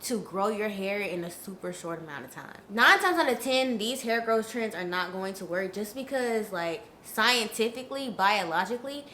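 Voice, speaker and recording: A woman's voice: mid-range voice, expressive, moderate pace, hardly any pauses, bright, forceful tone, echoey room, a little hiss or hum. A young woman with a stuffy nose from a cold, giving frank, chatty hair care advice straight to her viewers. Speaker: natural hair vlogger. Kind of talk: casual advice vlog.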